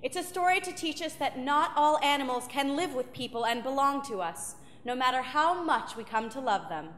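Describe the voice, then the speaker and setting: A woman who sings soprano narrates a story on stage with an expressive storyteller's delivery. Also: high voice, moderate pace, a little hiss or hum. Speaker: soprano opera singer and narrator. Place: opera house stage, live show. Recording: echoey hall.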